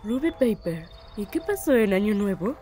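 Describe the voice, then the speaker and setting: Woman voicing a friendly lady cat, mid pitch. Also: very animated, moderate pace, dark tone, soft background music, natural voice. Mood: teasing. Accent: Latin American Spanish. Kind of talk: animated cartoon fan dub.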